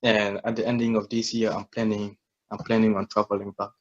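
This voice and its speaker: A man's voice: lowish voice, level tone, brisk, highs cut off. A young man from South Sudan in his twenties, a humble and reflective guest telling his story in a casual interview.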